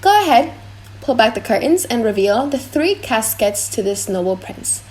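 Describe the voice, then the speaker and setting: Poised, formal noblewoman with a higher voice for an audiobook play played by a woman. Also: big pitch swings, normal speed, darkish tone, slight background noise. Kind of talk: audiobook drama reading.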